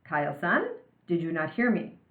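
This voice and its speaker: A woman's voice: low-pitched, sing-song, normal speed, narrow, tinny audio. A woman reads as a stiff, polite male karate teacher in a storybook. He speaks formally and solemnly, trying to sound wise. The room echoes and the sound is band-limited. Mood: stern, formal. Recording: roomy, band-limited home recording.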